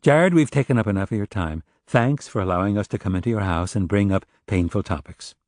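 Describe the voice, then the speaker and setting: A man voicing a composed female police sergeant in an audiobook, speaking in a measured, direct way. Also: lowish voice, darkish tone, no room sound, studio quality, natural voice. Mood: polite, firm. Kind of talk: audiobook character dialogue.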